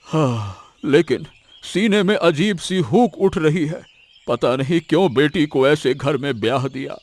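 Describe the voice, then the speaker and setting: A man's voice: fairly high pitch, animated, unhurried. A man playing an emotional, anxious older village father in a cartoon moral story. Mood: worried.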